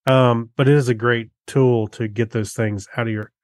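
A man's voice: mid-range voice, fairly even intonation, normal speed, no echo. Man co-hosting a tech chat, dry sound. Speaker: podcast co-host. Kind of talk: casual tech podcast chat.

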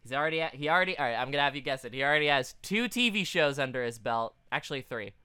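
A man's voice: medium pitch, lively intonation, rapid-fire, sharp tone, clear, resonant tone, dry recording, clean studio sound. A man co-hosting a podcast in casual chat, speaking informally and swearing often. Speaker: podcast co-host.

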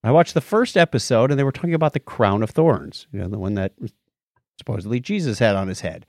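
A man co-hosting a podcast in casual conversation, animated, often stammering and restarting his phrases. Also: mid-range voice, fast talker, dry recording, clean studio sound. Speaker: podcast co-host.

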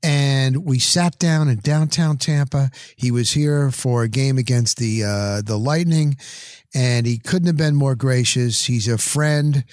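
A man's voice: mid-range voice, a little slow, darkish tone, dry recording, studio quality. A middle-aged man from New Jersey hosting sports talk in an enthusiastic, effusive way, repeating phrases for emphasis. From New Jersey, USA. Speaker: interview show host.

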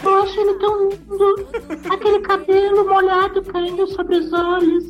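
A man's voice: extremely high pitch, completely flat delivery, moderate pace, dull audio, faint music behind, voice pushed up. A Brazilian man voicing a lovestruck, swooning teenage fangirl who gushes with admiration on a comedy podcast. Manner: gushing, breathless admiration.

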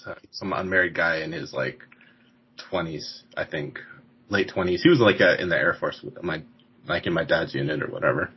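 A man's voice: sits low, moderate pace, breathy edge. A man in his forties hosting a podcast interview, rambling casually with frequent laughs and restarts. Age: 40s. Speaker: podcast host. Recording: dull lo-fi sound.

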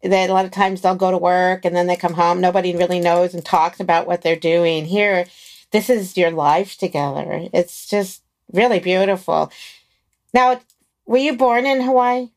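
A woman's voice: on the low side, normal speed. A woman interviewing a guest, effusive and admiring in her remarks.

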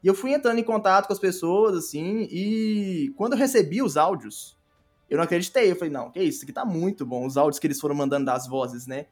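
A Brazilian man with a notably high voice talks casually as an interview guest. He is enthusiastic and circles back over his phrases while explaining. Faint music plays underneath. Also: fast talker, a little mellow. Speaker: audio drama creator, podcast guest.

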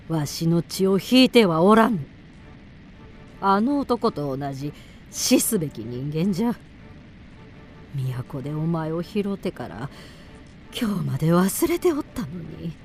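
A woman's voice: lowish voice, lively intonation, takes it slowly, long pauses, a little mellow, soft background music. A woman playing an ancient, imperious demon mother who speaks like an old crone in archaic, commanding phrases in a radio drama. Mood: bitter, cold.

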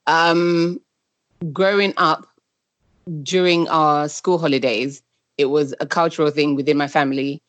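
A woman's voice: sits low, fairly even intonation, measured pace, no echo, lo-fi sound. Woman from Zimbabwe, dry close sound. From Zimbabwe, moved to the UK around age ten. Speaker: podcast guest, travel brand founder. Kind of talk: conversational interview answers.

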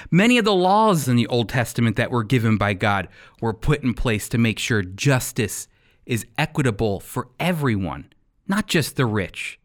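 A man hosting and teaching on a radio Bible program, speaking in an earnest, emphatic, sermon-like way. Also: medium pitch.

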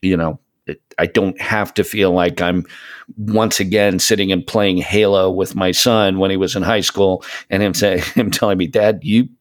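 A man in his late fifties with a fairly low voice talks casually on a podcast. He is reflective and conversational, often halting as he gathers his thought. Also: fairly even intonation. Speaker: podcast co-host. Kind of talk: casual chat about gaming.

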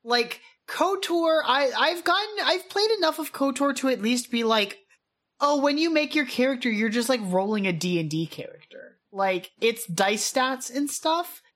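A young woman co-hosting a podcast, chatting casually with quick reactions and filler-heavy asides. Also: high-pitched, expressive, a bit bright. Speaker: podcast co-host and editor. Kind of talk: casual chat.

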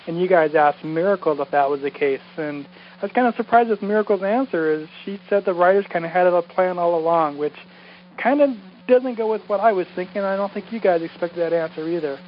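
A man's voice: high-pitched, a little fast. A man who podcasts, leaving a casual, rambling message over a muffled phone line. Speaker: podcaster calling in. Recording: muffled phone voicemail, faint noise.

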